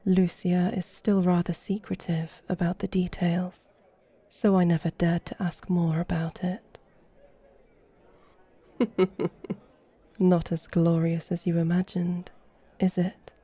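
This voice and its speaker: Actress as elegant female vampire seductress for ASMR roleplay; dark mellow tone, unhurried, teasing. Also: fairly low pitch, little pitch movement, dry recording. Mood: warm, apologetic. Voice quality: soft, breathy. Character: flirtatious, courtly female vampire guiding a guest.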